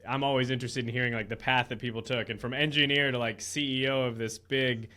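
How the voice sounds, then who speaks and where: Male podcast host, casual, rambling questions, filler words, medium pitch. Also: steady tone, fast, sharp tone. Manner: casual and rambling, with many "like"s and "kinda"s. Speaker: podcast host, go-to-market consultant.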